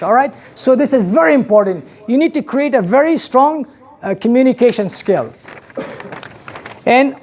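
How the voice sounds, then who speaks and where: An Afghan-accented man in his thirties lectures a class in a small classroom, gesturing and throwing questions at his students. His voice is picked up by a camera across the room. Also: very high voice. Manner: animated, gestures widely, asks the class questions. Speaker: English teacher.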